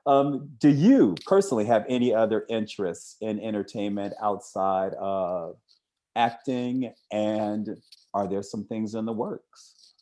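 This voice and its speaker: A man's voice: lowish voice, unhurried, mellow. An American man hosting an interview in a casual, chatty style. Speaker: interview host. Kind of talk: casual chat.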